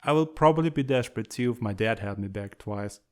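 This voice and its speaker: A man's voice: mid-range voice, brisk, natural voice. An actor playing an understanding teenage boy cadet in a comic fan dub. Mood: sympathetic.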